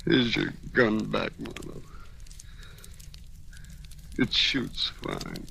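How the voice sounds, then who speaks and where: An actor playing a nervous, soft-hearted, hesitant man caught up in crime in an old-time radio drama. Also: lowish voice, wildly swooping pitch, very slow, vocal fry, exaggerated voice. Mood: remorseful. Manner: hesitant, pleading, stammering. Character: nervous, reluctant hotel man, soft-hearted brother of a thug. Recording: noisy vintage radio recording.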